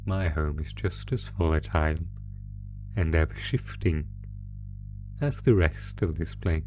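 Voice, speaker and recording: A man voicing a sadistic monster in a horror roleplay audio drama, toying with a captive in a taunting, mock-polite manner with savoring pauses. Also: extremely low pitch, warm tone, soft background music. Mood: menacing, gloating. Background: musical score.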